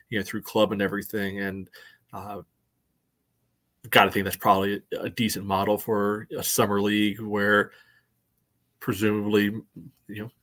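A man in his thirties with a fairly low voice joins a casual sports panel over a video call from home. His talk is loose and rambling, padded with little filler phrases. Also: fairly bright tone. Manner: loose, rambling, peppered with "you know". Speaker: soccer podcast panelist. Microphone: video call microphone.

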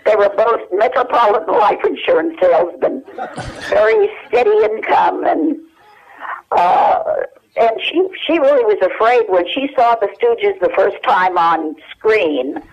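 An older woman telling anecdotes as an interview guest, in a halting, conversational way over a telephone. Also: medium pitch, big pitch swings, breathy edge. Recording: dull telephone line.

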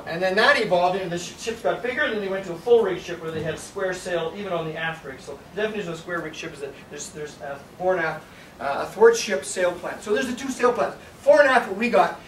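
An older man giving a casual slide lecture on sailing history, rambling and animated, with frequent restarts and asides. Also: sits high, slight background noise. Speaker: sailing presenter. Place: darkened lecture room with projector. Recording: echoey room from a distance, faint ambience.

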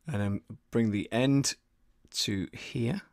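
A man's voice: mid-range voice, takes it slowly, dry recording, studio quality. A middle-aged man casually talking through his audio editing as a tutorial, sometimes muttering to himself as he works. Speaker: voice-over artist and audio producer giving a tutorial. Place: small indoor desk studio. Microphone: studio desk microphone, close.

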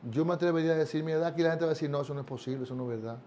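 A man's voice: fairly high pitch, racing, darkish tone, slight background noise. A man in his sixties, a singer, talking earnestly in an interview and often repeating words as he searches for them. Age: 60s. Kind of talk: casual interview chat. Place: bright living room set, indoors.

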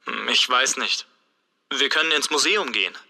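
A hesitant friend on the phone. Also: male voice, mid-range voice, normal speed, vocal fry, bright, forceful tone, in a large hall, exaggerated voice. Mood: casual. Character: hesitant adult man answering a friend's call.

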